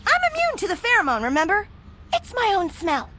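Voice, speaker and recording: A young mutant heroine with a high, bright voice that moves around a lot. She is brave, stubborn and selfless, in animated game style over faint ambience. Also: a little fast, slight background noise, exaggerated voice. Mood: determined, urgent. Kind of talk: animated video game acting.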